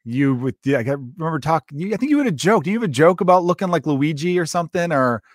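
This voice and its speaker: A man's voice: fairly high pitch, lively intonation, quick pace, no echo, studio quality. A man hosting a podcast interview, asking questions in a rambling, joking, self-deprecating way. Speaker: podcast host. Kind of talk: casual interview chat.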